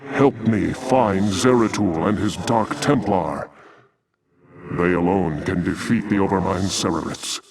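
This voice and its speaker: A man's voice: deep voice, unhurried, distant mic, a crowd in the background. A man voicing a noble, defiant alien templar commander in a video game, with solemn, dignified, formal delivery. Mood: earnest, resolute.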